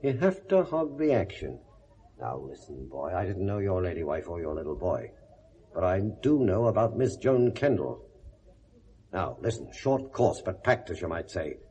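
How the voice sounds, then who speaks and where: An actor playing a blunt, world-weary police inspector with a British accent and a sardonic, lecturing manner in a 1970s radio drama. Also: male voice, low-pitched, sing-song, normal speed, mellow, a little hiss or hum. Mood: sardonic, exasperated. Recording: dull vintage radio recording.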